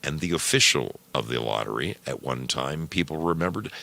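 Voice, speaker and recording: A man narrating a short story from a script, with deliberate, measured phrasing and pauses between phrases. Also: a bass voice, lively intonation, vocal fry, bright, forceful tone, no room sound. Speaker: storyteller and program host.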